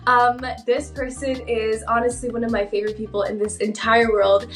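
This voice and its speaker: A woman's voice: fairly high pitch, level tone, normal speed. A young woman hosting a casual chat and interviewing a guest in a gushing, enthusiastic way. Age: young adult. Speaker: host of a relationship advice show. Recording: roomy echo, distant mic, music behind.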